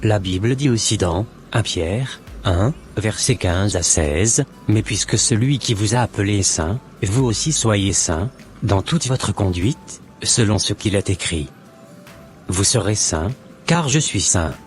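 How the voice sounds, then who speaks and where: Low-voiced devout man, acted by a man, measured. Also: lively intonation, faint music behind. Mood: calm, admonishing. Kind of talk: scripted cartoon dialogue.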